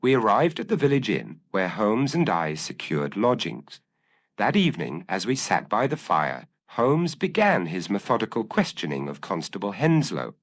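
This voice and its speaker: Very deep-voiced man narrating gothic mystery. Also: huge pitch swings, normal speed. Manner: formal, literary storytelling. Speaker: story narrator.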